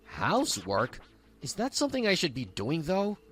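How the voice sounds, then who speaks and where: Man acting a cocky, animated young husband. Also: fairly high pitch, moderate pace, husky, full character voice. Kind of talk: scripted manga dub dialogue.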